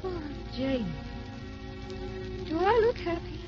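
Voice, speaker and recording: A woman's voice: very high voice, very animated, slow, exaggerated voice. An actress playing a tender, weary, ageless grandmother who speaks wistfully and repeats her phrases, in old radio drama style. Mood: dreamy, fading. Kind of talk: 1930s-40s radio drama acting. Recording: dull old radio recording with music.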